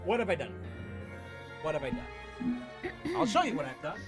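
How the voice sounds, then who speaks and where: A young man streaming games, chatting casually in a rambling, halting way and repeating phrases for emphasis. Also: fairly high pitch, huge pitch swings. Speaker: gaming streamer. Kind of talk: casual, rambling gameplay chat. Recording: game music behind.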